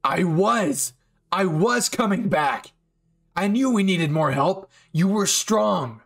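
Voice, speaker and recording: A man plays a young male anime hero with a short temper and fierce loyalty. He sounds intense and pleading, slightly high in pitch, and takes his time. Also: lively intonation, slow, vocal fry, a bit bright, exaggerated voice. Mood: desperate. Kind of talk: anime fan ASMR roleplay acting.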